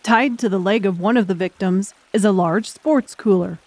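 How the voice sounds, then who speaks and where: Female audiobook narrator, medium-pitched voice, scripted dramatic storytelling. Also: normal speed, darkish tone, no room sound.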